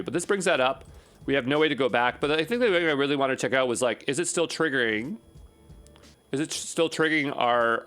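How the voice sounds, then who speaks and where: A man's voice: mid-range voice, fairly bright tone. A man giving an unscripted screencast programming tutorial, thinking aloud in a casual, rambling way and often checking himself with a questioning tag. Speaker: programming instructor.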